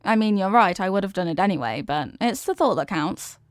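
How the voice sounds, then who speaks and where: A woman plays a clingy yandere wife in an ASMR audio drama. She is intense and possessive, coaxing her beloved with melodramatic devotion. Her voice sits at an ordinary female pitch, recorded very dry and intimate. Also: animated, brisk, dry recording, close to an everyday voice. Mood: touched, delighted. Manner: coaxing, melodramatic, lingering on words.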